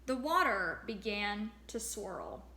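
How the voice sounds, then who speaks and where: A woman reading a storybook aloud as a teacher, expressive and pausing to address young listeners. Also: mid-range voice. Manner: expressive, acts out sound words.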